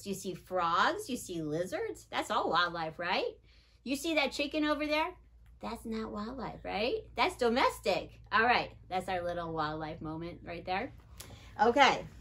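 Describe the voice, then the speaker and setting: A middle-aged woman reading a picture book aloud to children in an animated way, talking to them directly with questions. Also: mid-range voice. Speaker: children's story time reader and wildlife educator. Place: small indoor library room with bookshelves.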